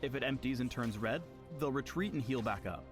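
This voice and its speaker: Higher-pitched man narrating over prominent music. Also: a little fast. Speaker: game tutorial narrator. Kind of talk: scripted champion guide narration. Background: music behind the voice.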